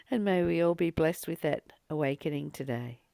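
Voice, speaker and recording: A woman's voice: low-pitched, gravelly, mellow, dry recording, clean studio sound. A woman reading a spiritual text and commenting on it as a teacher, earnest and exhorting, repeating phrases for emphasis.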